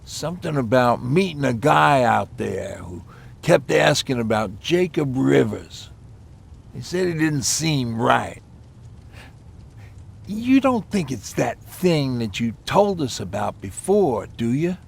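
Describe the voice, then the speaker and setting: Male survivor for post-apocalyptic game: heavy fry, husky edge, reflective, halting storyteller. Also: mid-range voice, big pitch swings, exaggerated voice. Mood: uneasy. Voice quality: gravelly, husky. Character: weathered male post-apocalypse survivor recalling hard times. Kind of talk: naturalistic video game acting.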